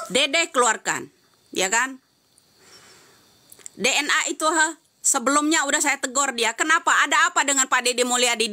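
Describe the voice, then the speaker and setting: A woman's voice: a little high, bright, forceful tone, slight background noise. A woman giving heated live-stream commentary, answering comments with insistent, repeated rhetorical questions.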